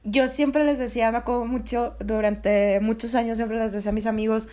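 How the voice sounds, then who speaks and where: A woman's voice: a little high, steady tone, fairly quick, dark tone. A woman with a Mexican Spanish accent hosting a casual talk with a former student, chatty and teasing, explaining with everyday examples. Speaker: podcast host, former teacher of the guest. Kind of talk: casual chat.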